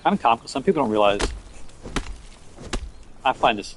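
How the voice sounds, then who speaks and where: A man's voice: medium pitch, expressive, normal speed, slight background noise. Newfoundland man rambling over gameplay. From Newfoundland, Canada. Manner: rambling, offhand, talks while playing. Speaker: game streamer. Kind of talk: casual gameplay live-stream chat.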